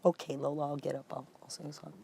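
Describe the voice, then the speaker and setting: A woman musician telling her story in a relaxed interview, animated and chatty, calling people "man.". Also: sits low, fast, vocal fry, slight background noise. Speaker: guest musician and vocalist. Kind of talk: casual interview chat.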